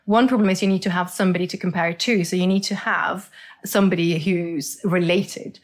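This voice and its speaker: A middle-aged woman from Norway, speaking as an expert guest in a conversational interview and explaining with lively enthusiasm. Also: lowish voice, brisk. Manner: enthusiastic, explanatory, repeats words.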